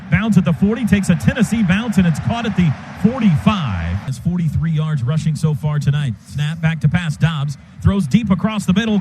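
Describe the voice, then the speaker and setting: Radio football announcer, male, higher-pitched, clipped rapid calls, stadium noise under him. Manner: clipped rapid calls, bursts to a shout on big plays. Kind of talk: live radio football play-by-play.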